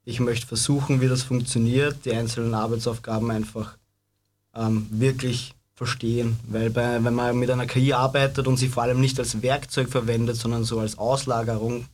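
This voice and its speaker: A man's voice: medium pitch, little pitch movement, normal speed, a little mellow. A man speaking reflectively as a guest in a spoken discussion.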